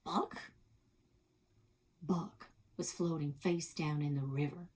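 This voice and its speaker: A woman's voice: low-pitched, fairly even intonation, measured pace, warm tone. A woman narrating a children's story expressively as a library read-aloud host.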